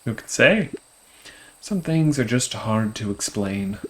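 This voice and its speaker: An actor playing a gentle, folksy father in a video game, speaking reassuringly to his young son. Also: on the low side, expressive, slow pace. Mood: gentle, evasive.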